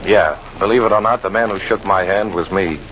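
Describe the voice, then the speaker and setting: An actor playing a world-weary American jungle guide, wry and tough, in hard-boiled old radio drama style. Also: male voice, sits low, brisk, lightly acted. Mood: ominous. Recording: muffled old radio recording, clear noise.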